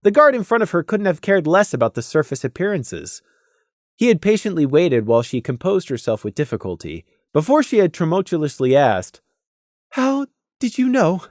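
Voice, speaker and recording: Expressive story narrator with a dry, close sound. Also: medium pitch, brisk, no echo. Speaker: audiobook narrator.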